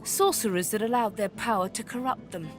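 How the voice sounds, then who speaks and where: Woman as a sardonic, higher-pitched sorceress mentor. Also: fairly quick, natural voice. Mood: grim, sardonic. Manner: sardonic, impatient, dramatic. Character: world-weary, battle-worn female sorcerer mentor, sharp-tongued. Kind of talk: fantasy video game voice acting. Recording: music behind the voice. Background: music.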